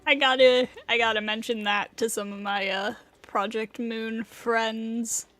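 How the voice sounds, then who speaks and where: A woman livestreaming a game, with a slightly high voice and a slow, loose pace. She is playful and slangy, dropping exclamations into casual commentary. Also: steady tone, a bit bright.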